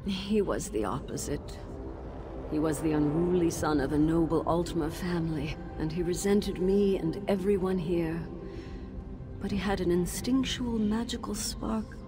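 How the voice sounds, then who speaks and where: An actress plays the lingering shade of an elven sorceress in a fantasy game, speaking in a lower, measured and regal voice. Her grief comes through a formal, stately delivery, and a stern, sharp edge shows when she scolds. Orchestral game music swells beneath her. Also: close to an everyday voice. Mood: solemn, grateful. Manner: solemn, measured and regal; shrill scolding in memories. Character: elven mage teacher's shade, sorrowful and stern. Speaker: video game character. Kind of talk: game cutscene voice acting.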